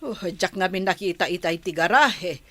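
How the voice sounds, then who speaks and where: A woman with an Ilocano accent playing an older, doting grandmother in a radio drama, speaking in a gentle, fond way. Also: on the low side, lively intonation, moderate pace, no echo. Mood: worried. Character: doting grandmother, soft-spoken and fussy.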